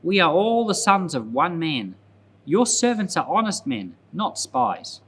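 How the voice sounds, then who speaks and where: A man's voice: a little high, very animated, unhurried, a bit bright. Man reading as an anxious, humble pleading brother.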